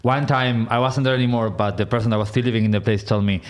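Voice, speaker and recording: A man chatting easily on a podcast, his stories unhurried and a little rambling. His voice sits at an ordinary pitch and comes through clean and close on a desk mic in a small room. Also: fairly even intonation. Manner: laid-back, rambling storyteller. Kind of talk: casual chat. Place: podcast studio room, indoors. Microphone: desk mic on stand.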